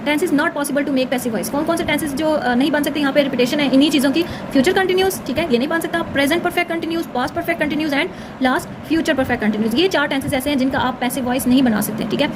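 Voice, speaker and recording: A woman with a very high voice teaches at a quick pace, explaining step by step in an informal tutorial manner. She keeps adding short check-in tags, and clear background noise runs behind her. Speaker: English grammar teacher.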